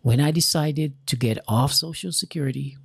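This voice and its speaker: A Jamaican-accented man from Jamaica giving an earnest, deliberate motivational talk straight to his viewers, repeating key lines for emphasis. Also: mid-range voice, vocal fry, a bit bright, breathy edge, no echo, studio quality. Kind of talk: motivational direct-to-camera talk.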